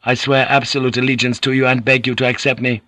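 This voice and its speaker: A man's voice: medium pitch, little pitch movement, quick pace, sharp tone, no echo, voice pushed up, close to an everyday voice. A man voicing a humbled, earnest ronin in an audiobook, pleading as he speaks. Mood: ashamed pleading.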